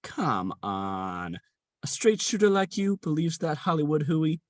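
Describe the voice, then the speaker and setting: A man's voice: a little high, big pitch swings, a little slow, no room sound. Man playing a jaded, swingy-voiced veteran police sergeant. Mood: cocky, needling. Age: young adult. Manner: crude, rambling, hesitant, slangy. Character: gruff, jaded veteran male police sergeant near retirement. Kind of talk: contemporary comic radio play acting.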